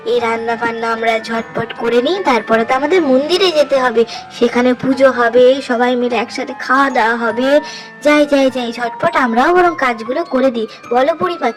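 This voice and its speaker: A woman's voice: fairly high pitch, brisk, music in the background, natural voice, rough recording. A woman voicing an eager, chatty young village woman in a Bengali cartoon fairy tale.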